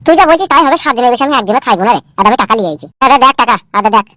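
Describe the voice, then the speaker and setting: A man's voice: extremely high pitch, expressive, racing, sharp tone, a little hiss or hum, exaggerated voice. A man playing a put-upon, rueful village husband in a comic cartoon, plaintive and coaxing. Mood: placating, resigned.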